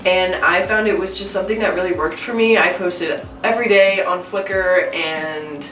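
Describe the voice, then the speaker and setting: A woman's voice: on the low side, fairly even intonation, normal speed, far from the mic. A woman in her twenties with a stuffy, congested voice from a cold, chatting casually to the camera about her art. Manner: chatty, self-questioning, giggly. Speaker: artist vlogger. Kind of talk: casual talking-to-camera vlog. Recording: roomy echo, a bit off-mic, soft music.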